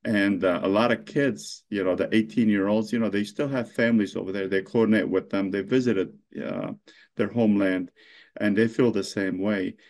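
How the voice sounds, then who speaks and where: A middle-aged man from Lebanon with a fairly low voice speaks off the cuff. He answers in a halting way, with many fillers, repeated words and restarts. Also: steady tone, darkish tone. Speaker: mayor being interviewed. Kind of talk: unscripted interview answers.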